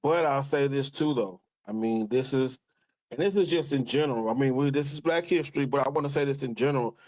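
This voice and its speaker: Fast-talking man arguing on a panel. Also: mid-range voice, a little mellow, dry recording, poor audio. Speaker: podcast panelist. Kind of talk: casual panel debate.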